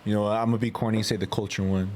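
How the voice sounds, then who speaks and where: A man's voice: fairly low pitch, flat delivery, very fast, a little mellow. A man co-hosting a podcast, chatting casually about sports in slangy, sweary talk full of "bro" and "man". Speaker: podcast co-host. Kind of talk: casual sports banter among friends. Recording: podcast mic with faint background noise.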